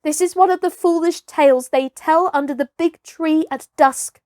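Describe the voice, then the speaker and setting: A woman's voice: sits high, moderate pace, darkish tone, no room sound, studio quality, pitched above the performer's own voice, natural voice. A woman voicing a bold, scornful young herdsboy raised by wolves, with archaic, commanding speech in a storybook reading. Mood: urgent.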